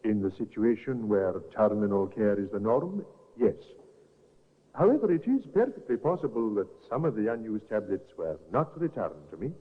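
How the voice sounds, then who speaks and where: An actor playing a careful, formal doctor giving evidence in a 1950s radio drama. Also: male voice, lowish voice, sing-song, moderate pace, slightly rough. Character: careful, apologetic male doctor witness. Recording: tinny old radio broadcast with reverb.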